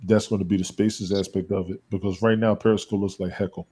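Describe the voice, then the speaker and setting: A man's voice: deep voice, level tone, fast talker, mellow, slightly rough. A man chatting casually as a guest on a live show, emphatic and repeating his points. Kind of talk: casual chat.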